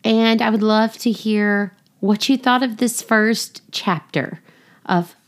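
A woman's voice: medium pitch, slow pace. A woman reading scripted children's story narration and explanation, in a teaching manner. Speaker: storybook reader and podcast host.

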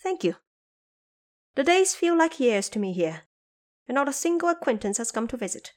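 A woman voicing a trapped male monkey sage in an audio drama, eager and pleading, with a loud, excitable delivery. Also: high-pitched, moderate pace, pitched above the performer's own voice. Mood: excited, grateful.

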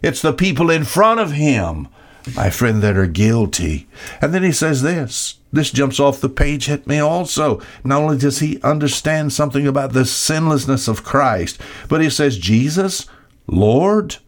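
Man preaching with emphatic pauses. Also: mid-range voice, lively intonation. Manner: emphatic, dramatic pauses, rhetorical questions. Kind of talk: expository sermon, reading and explaining Bible verses.

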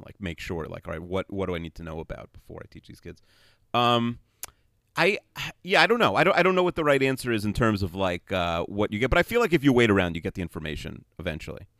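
A man co-hosting a podcast, thinking out loud in a rambling, hesitant way with many restarts. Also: low-pitched, sing-song, fast talker, dry recording, clean studio sound. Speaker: podcast co-host. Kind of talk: casual podcast chat.

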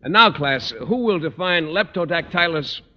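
A man's voice: on the high side, moderate pace, bright, forceful tone, big-room sound. An actor playing a mild, naive male biology teacher, hesitant, courteous and a little pedantic, in old radio sitcom style. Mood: polite lecturing. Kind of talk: 1940s-50s radio sitcom acting. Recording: muffled old radio recording, faint noise.

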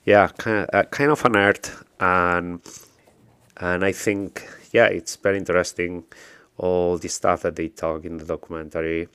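Low-voiced man, dry close sound. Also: a little slow, smooth, dry recording. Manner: relaxed, hesitant, many fillers and restarts. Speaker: radio show co-host. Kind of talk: casual radio chat.

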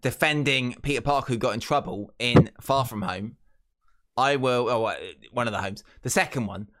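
A man co-hosting a podcast, excitable and playful, jumping in eagerly. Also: mid-range voice, moderate pace. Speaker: podcast co-host. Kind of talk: casual chat.

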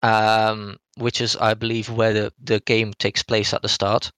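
A man co-hosting a podcast, casually reviewing a game in a halting, rambling way with frequent hedging and rephrasing. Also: on the low side, monotone, fairly bright tone, clean tone, no echo. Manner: hesitant, halting delivery, often hedging and rephrasing.

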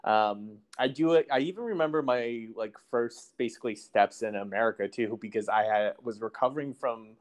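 A man's voice: mid-range voice, normal speed, hardly any pauses. A man in his late twenties, a resident doctor guest, talking casually in a podcast interview with many fillers and light laughter. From China. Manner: easygoing, laughs while telling stories, many fillers.

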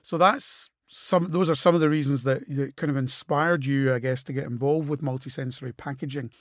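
A man hosting an interview, measured and conversational, with many you-knows and agreeing replies. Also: medium pitch, fairly even intonation, no room sound, studio quality. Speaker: host.

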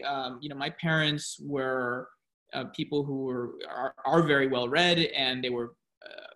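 A man with a notably bright, forward tone answers questions on a podcast. He talks in a casual, reflective way and explains his ideas at length, building them step by step. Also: mid-range voice. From United States. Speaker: podcast guest, professor. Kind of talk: casual interview talk.

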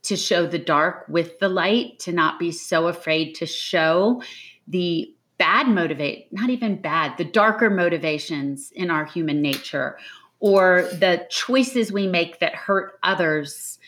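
A woman with a slightly low-pitched voice speaks as a guest author in a reflective interview. She is earnest and emphatic, shaping her thoughts like a storyteller. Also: unhurried, a bit bright. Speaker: novelist being interviewed.

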